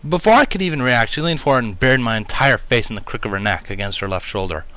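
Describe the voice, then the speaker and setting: Animated male story reader, bright voice. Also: mid-range voice, fast talker, no room sound. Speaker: fan fiction reader. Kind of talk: expressive story narration.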